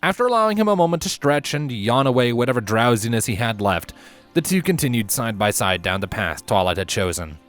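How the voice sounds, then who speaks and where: A man reading a fiction story aloud as its narrator, in an expressive scripted style. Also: medium pitch, a little fast, soft background music.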